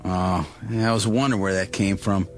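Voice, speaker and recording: An actor playing an easygoing, wisecracking American car-lot assistant in a radio drama, with a casual, folksy way of talking. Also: male voice, deep voice, fairly even intonation, a little fast, soft background music, lightly acted. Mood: teasing, puzzled.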